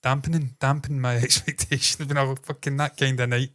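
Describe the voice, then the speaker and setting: A man in his thirties with a nasal Glaswegian accent, co-hosting a casual podcast chat, animated and swearing freely. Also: medium pitch, a little fast. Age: 30s. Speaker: podcast co-host.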